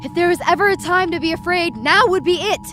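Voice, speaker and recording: A woman's voice: very high-pitched, quick pace, noisy background. A woman playing a frightened, dazed young woman who narrates her nightmare in the first person, intense and dramatic. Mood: alarmed, urgent. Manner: intense, dramatic, swinging from hushed to urgent.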